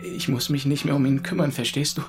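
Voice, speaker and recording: A man acting a bitter, shaken orphaned young man of twenty-two in audiobook dialogue, with a creaky voice. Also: medium pitch, little pitch movement, a little fast, pitched above the performer's own voice, lightly acted. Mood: shaken, bitter relief.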